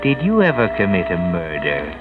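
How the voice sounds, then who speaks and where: A man's voice: low voice, wildly swooping pitch, moderate pace. A man playing a sinister, all-knowing mystery narrator in 1940s radio style, ominous, theatrical and mocking. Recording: dull vintage radio recording with music.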